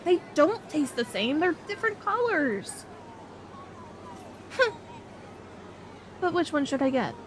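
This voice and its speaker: Young female best friend played by a woman, expressive roleplay style, unhurried, faint noise behind. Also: high-pitched, full character voice. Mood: playful, chatty. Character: excitable young woman on an outing with her best friend, sweet and easily discouraged. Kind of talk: ASMR roleplay audio drama addressed to the listener.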